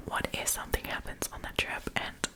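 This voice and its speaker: A woman hosts an ASMR storytime entirely in a breathy whisper. She talks in a casual, conversational way, freely sharing her opinions as she goes. The delivery stays unvoiced and informal. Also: fairly quick. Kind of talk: whispered ASMR, casual chatty commentary on stories.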